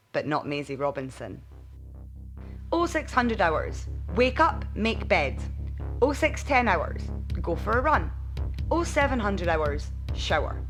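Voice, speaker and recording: A woman reading a bedtime story aloud in an expressive audiobook narration style. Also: mid-range voice. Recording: music behind the voice.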